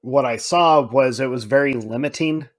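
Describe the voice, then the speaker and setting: A man's voice: medium pitch, moderate pace. A man co-hosting a podcast, chatting casually with strong opinions in colloquial, emphatic language. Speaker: podcast co-host.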